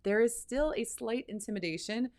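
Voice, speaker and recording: A woman's voice: on the high side, normal speed, darkish tone. A woman who directs a university center, chatting with enthusiasm in a casual podcast conversation.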